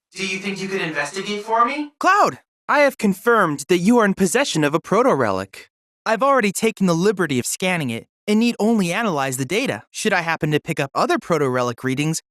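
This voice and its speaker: Eager, lively android boy. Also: high voice, no room sound, clean studio sound, exaggerated voice. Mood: earnest, purposeful. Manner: formal, precise, earnest. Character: polite, eager, bookish android boy research assistant. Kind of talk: scripted video game dialogue.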